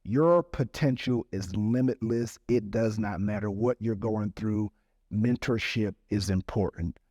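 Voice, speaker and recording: Emphatic man hosting with a low voice. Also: unhurried, darkish tone, no echo, studio quality. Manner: emphatic, enthusiastic. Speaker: podcast host. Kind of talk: interview hosting.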